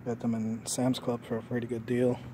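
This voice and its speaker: A man's voice: medium pitch, monotone, fairly quick, background noise. A middle-aged man casually showing his homemade camping trailer, talking in a loose, rambling way with many fillers, filmed outdoors on a handheld phone. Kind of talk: casual walkthrough. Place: outdoors on a residential lawn. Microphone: phone camera held in hand.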